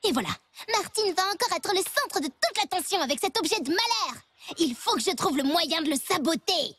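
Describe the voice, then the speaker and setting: A woman's voice: extremely high pitch, expressive, moderate pace, fairly bright tone. A woman voicing a snooty, jealous, scheming little girl in a cartoon, with a haughty, sneering delivery. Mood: scornful, jealous. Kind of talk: French cartoon dubbing.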